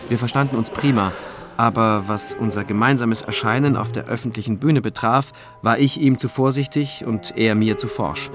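A man's voice: mid-range voice, close to an everyday voice. Male actor as reflective prosecutor-narrator, forties, dry irony, radio thriller, prominent music bed. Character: forty-year-old cautious male prosecutor telling his own story. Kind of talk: scripted first-person radio drama narration. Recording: music behind the voice.

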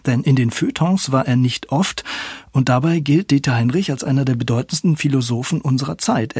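Gravelly, husky radio reporter. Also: male voice, mid-range voice, a little mellow, dry recording, clean studio sound. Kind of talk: scripted radio feature narration.

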